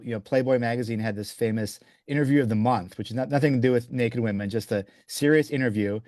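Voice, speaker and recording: A man's voice: mid-range voice, darkish tone, no echo. Guy in his 40s talking up his book, lots of restarts, dry close sound. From Belgium. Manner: enthusiastic, restarts often, says "you know". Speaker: author guest. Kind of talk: interview answers.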